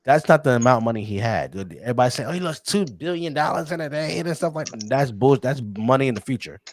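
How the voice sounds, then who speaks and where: Animated man co-hosting a podcast. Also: medium pitch, fast, no echo, studio quality. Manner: animated, teasing, chuckles often. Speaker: podcast co-host. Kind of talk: casual sports chat.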